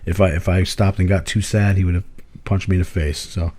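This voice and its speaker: A man co-hosting a podcast, telling rambling stories in a hoarse voice, swearing freely and laughing often. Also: low-pitched, fairly even intonation, brisk, warm tone. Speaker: podcast co-host. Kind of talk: casual chat.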